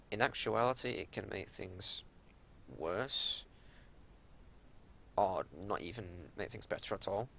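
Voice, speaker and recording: Low, gravelly man explaining game strategy. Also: a bit bright, slight background noise. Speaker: raid leader giving a strategy walkthrough. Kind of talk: unscripted gameplay commentary.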